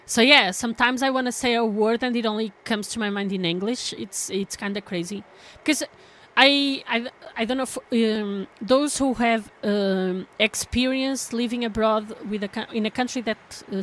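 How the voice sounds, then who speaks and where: A woman's voice: fairly high pitch, fairly bright tone. Woman streamer, chatty. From Portugal. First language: Portuguese. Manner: rambling, chatty, with many fillers and restarts. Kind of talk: casual chat with stream viewers.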